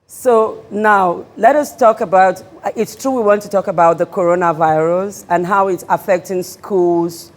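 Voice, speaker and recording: A woman's voice: mid-range voice, measured pace, few pauses. A woman with a Cameroonian accent hosting a TV talk show and interviewing in a conversational way. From Northwest region of Cameroon. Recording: music in the background. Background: music.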